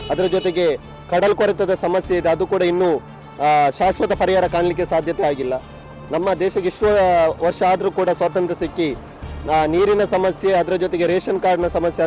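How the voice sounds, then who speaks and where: A high-voiced man with a coastal Karnataka accent gives his opinion as a local resident. His phrasing is formal and a little hesitant, with faint music behind. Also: darkish tone. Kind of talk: earnest vox pop statement.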